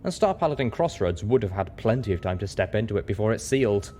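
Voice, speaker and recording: Low-voiced man narrating a story. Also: big pitch swings, fast talker, a little mellow, a little hiss or hum. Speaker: narrator of a reading show. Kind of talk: scripted first-person story narration.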